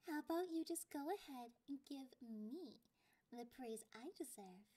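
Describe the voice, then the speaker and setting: A woman voicing a clingy, possessive catgirl girlfriend in an ASMR roleplay, with a playful, condescending and drawn-out delivery. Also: a little high, dark tone, husky, exaggerated voice.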